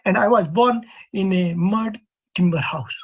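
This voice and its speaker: A man in his fifties from East Nepal with a Nepali accent, giving a measured, earnest conference presentation as an earthquake engineer. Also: high voice, husky, dull audio. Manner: measured, earnest, poses questions to the audience.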